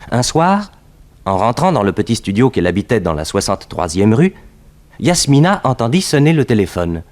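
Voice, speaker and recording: An actor narrating a 1960s detective radio serial in a polished, scripted, dramatic storytelling style. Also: male voice, mid-range voice, close to an everyday voice.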